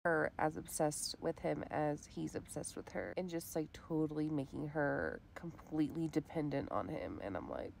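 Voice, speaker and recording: A young woman with a lowish voice chats casually through her reading week, rambling and stacking fillers as she goes. She sounds like she is at home. A faint noise sits under the recording. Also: lively intonation, moderate pace, creaky, hardly any pauses, a little mellow. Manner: chatty, rambling, lots of "like" fillers. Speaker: book vlogger. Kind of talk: casual reading vlog chat.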